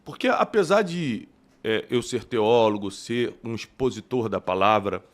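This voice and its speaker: A man with a carioca Brazilian accent hosts the conversation, his pitch swinging widely as he talks. He asks open questions and thinks out loud as he goes. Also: unhurried. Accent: Carioca Brazilian Portuguese. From Rio de Janeiro, Brazil. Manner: animated, questioning, thinking aloud. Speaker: podcast host and theologian. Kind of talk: conversational interview.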